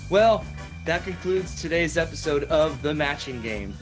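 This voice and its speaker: A man playing a chatty game show host in an improvised parody, with deadpan asides. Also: fairly high pitch, unhurried, soft background music, voice pushed down. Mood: upbeat, announcing. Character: male TV game show host, chatty, smooth, mock-serious.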